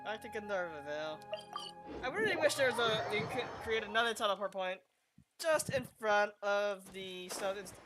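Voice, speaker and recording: A woman's voice: medium pitch, expressive, moderate pace. A woman streaming a game, giving casual live commentary on her playthrough. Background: game music.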